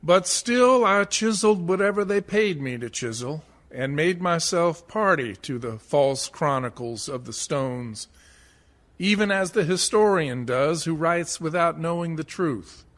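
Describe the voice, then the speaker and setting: Slow-spoken rueful tombstone carver played by a man. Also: on the high side, animated, fairly bright tone. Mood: rueful, reflective. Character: rueful small-town tombstone carver, quietly self-accusing. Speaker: actor in a community theatre radio play. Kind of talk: dramatic verse monologue.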